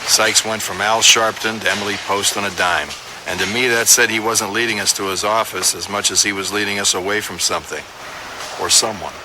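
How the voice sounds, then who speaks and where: Man as very deep-voiced hard-boiled detective. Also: steady tone, a little fast, background noise, close to an everyday voice. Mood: suspicious, knowing. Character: world-weary, terse male police detective narrating his case. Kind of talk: hard-boiled radio drama voice-over. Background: music.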